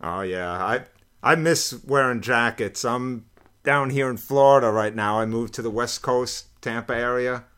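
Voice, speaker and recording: A man interviewing a guest in a relaxed, friendly way, stammering a little as he talks. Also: medium pitch, unhurried, clean tone. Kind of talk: casual interview.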